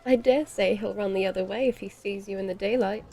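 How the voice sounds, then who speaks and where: A woman's voice: medium pitch, a little fast, warm tone, natural voice. A woman playing a haughty, sardonic vampire lady of the Old West in a horror audio drama, with prim, dry, formal diction.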